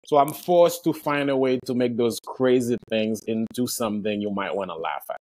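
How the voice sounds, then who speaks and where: A man's voice: medium pitch, normal speed, a little mellow. A man from Haiti with a Haitian accent, a stand-up comedian talking casually and earnestly about his craft, with the odd curse word. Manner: reflective, earnest, swears casually. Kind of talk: casual conversation.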